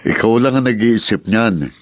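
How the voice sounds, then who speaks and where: An actor playing a loyal, protective younger brother, earnest and direct, in a radio drama. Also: male voice, on the low side, animated, normal speed, close to an everyday voice. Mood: reassuring, earnest.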